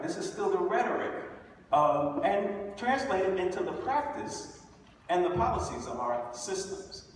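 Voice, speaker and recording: A man's voice: a little high, a little hiss or hum. A man on a conference panel who speaks earnestly and keeps asking "right?" to drive his points home. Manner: earnest, rhetorical, repeats "right?". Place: large conference hall. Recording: echoey hall, mic heard from far off.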